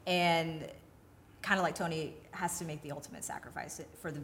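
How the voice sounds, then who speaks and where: A woman's voice: low voice, fairly even intonation, moderate pace, a bit bright, a little hiss or hum. A woman co-hosting a casual film discussion, explaining things and putting questions to the group. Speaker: podcast co-host.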